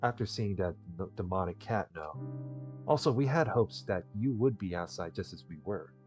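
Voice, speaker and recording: A man's voice: on the low side, expressive, normal speed, a little mellow, natural voice. A man voicing worried, frightened young male friends in a visual novel. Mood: shaken. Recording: dull lo-fi mic with music behind.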